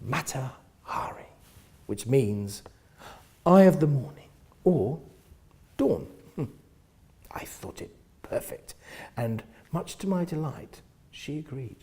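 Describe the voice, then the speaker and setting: A man with a husky voice reading first-person literary fiction in an expressive, theatrical manner, often hushing the ends of phrases. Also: mid-range voice, slow, long pauses. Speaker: reader of a novel.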